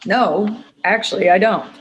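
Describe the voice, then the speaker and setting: A woman's voice: medium pitch, measured pace, dull audio, a little hiss or hum, close to an everyday voice. A woman playing a guarded, combative twenty-year-old fighter in a script table read, speaking in terse, wary lines. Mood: wary, annoyed.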